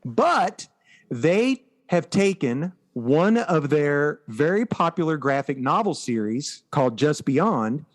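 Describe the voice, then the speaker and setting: A man's voice: fairly high pitch, very animated, slow pace. An American man co-hosting a podcast, chatting casually and with enthusiasm about pop culture. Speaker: podcast co-host.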